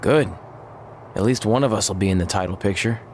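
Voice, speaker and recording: A man playing a good-natured, jokey pro wrestler in a video game cutscene, talking casually with deadpan humor. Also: lowish voice, fairly quick, a little hiss or hum, lightly acted. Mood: easygoing, joking.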